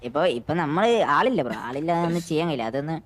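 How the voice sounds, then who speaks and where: A man's voice: on the high side, animated, racing, a bit bright. A man arguing in character in an online game, insistently repeating his points. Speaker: gang member in an online role-play game. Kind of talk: casual in-character argument during GTA role-play.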